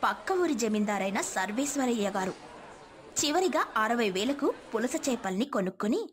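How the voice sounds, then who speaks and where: Woman reading out a moral story, kinda high voice, storyteller narration. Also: expressive, moderate pace, slight background noise.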